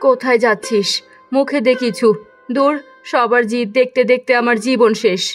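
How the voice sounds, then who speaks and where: A poor village wife and mother from a cartoon, played by a woman, worn down and quick to scold. Her voice is fairly high and exasperated, with light music behind it. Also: fairly even intonation, close to an everyday voice. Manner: exasperated, nagging. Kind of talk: Bengali cartoon dialogue.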